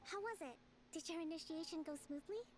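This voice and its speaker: A woman playing a composed, gentle young leader in an anime video game, speaking in a measured, polite and formal way. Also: very high-pitched, over music. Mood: gently teasing.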